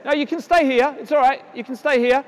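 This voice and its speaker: A man's voice: very high voice, fairly even intonation. Man coaching kids in an echoey hall. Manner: encouraging, brisk instructions to children; projects his voice loudly. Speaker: tennis coach. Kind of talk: on-court coaching demonstration. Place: indoor tennis hall at a conference.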